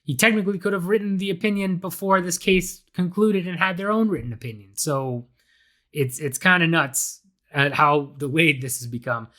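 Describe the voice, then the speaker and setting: Raspy-voiced man hosting a podcast. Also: on the high side, normal speed, bright, forceful tone. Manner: casual, chatty, frequent fillers and restarts. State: slightly raspy from illness. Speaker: podcast host. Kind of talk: solo podcast commentary.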